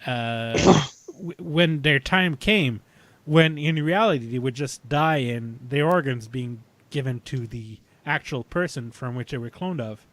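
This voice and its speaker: A man talking casually as a podcast panelist about sci-fi television, thinking aloud in a halting way. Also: mid-range voice, fairly bright tone, no room sound.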